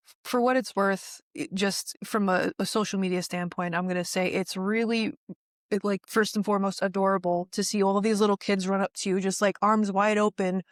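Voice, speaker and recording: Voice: medium pitch, fairly even intonation, no room sound. Casual interviewer, fumbling for phrasing, dry close recording. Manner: halting, searching for words, many restarts.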